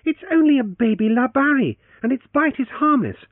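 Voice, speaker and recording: A man voicing a proud, spirited young heiress who is quick to anger, in a raised, indignant voice. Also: expressive, dry recording. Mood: pleading. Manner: high, sharp and indignant. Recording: tinny phone-band audio.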